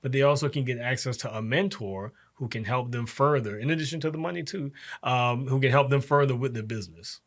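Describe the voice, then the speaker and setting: A man in his forties, a guest in a casual interview, explaining things step by step and pausing often on um and uh. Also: mid-range voice. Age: 40s. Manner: explains step by step, halting with frequent um and uh. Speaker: program director, guest.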